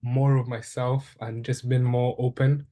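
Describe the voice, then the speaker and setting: Young Ugandan-born man, flat and mellow. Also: mid-range voice, measured pace. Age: young adult. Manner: thoughtful, with frequent ums and restarts. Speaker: interviewee, undergraduate student. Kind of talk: reflective casual conversation.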